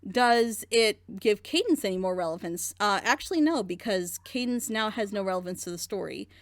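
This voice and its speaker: A woman's voice: mid-range voice, lively intonation, normal speed, dry recording, clean studio sound. A woman in a group reaction show, critically breaking down a plot twist with rhetorical questions. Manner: critical, analytical, builds argument with rhetorical questions. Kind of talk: animated group reaction chat.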